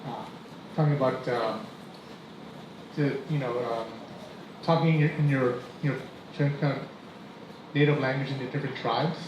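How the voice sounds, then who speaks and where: A man's voice: medium pitch, steady tone, moderate pace, pauses often. A man moderating a film panel, rephrasing questions with many fillers. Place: event hall. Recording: echoey hall, dull sound, noise.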